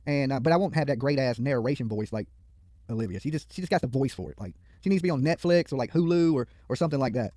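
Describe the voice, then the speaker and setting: A man's voice: mid-range voice, expressive, quick pace, dark tone, no room sound, clean studio sound. A man hosting a livestream with casual, blunt, opinionated commentary and plenty of colloquial swearing. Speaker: YouTube livestream host. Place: studio.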